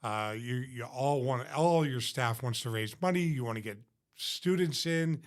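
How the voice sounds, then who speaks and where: Man, mid pitch, podcast host, conversational, asking questions. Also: unhurried. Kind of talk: interview host.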